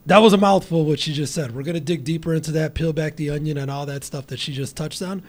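A male podcast host with a husky voice that sits a little high for a man. He talks in an excitable, rambling way, often breaking off and restarting his sentences. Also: fast talker. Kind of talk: casual interview.

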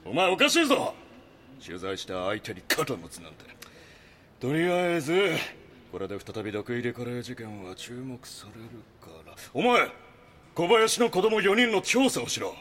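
A man's voice: mid-range voice, big pitch swings, normal speed, fairly bright tone. A man playing a pushy, callous chief editor in an audio drama, blunt and dismissive, with noise behind him. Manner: blunt, brusque, dismissive toward his junior. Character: pushy, callous male magazine chief editor, gloating over scoops.

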